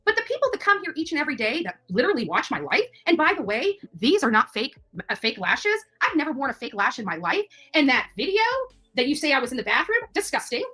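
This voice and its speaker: A woman's voice: high-pitched, sing-song, fast talker, sharp tone. A woman on a livestream from her home, combatively calling someone out with scornful, sassy jabs like "babe" and "honey". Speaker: YouTube live streamer. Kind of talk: ranting livestream callout.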